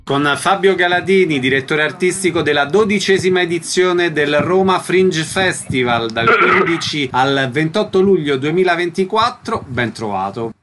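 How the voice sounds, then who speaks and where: Brisk male radio host. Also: fairly high pitch, expressive, music in the background. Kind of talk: radio interview.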